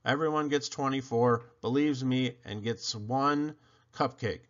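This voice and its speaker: A man reading a children's picture book aloud in an animated storyteller style, with dramatic, emphatic phrasing and playful swoops. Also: medium pitch, a little slow, lo-fi sound.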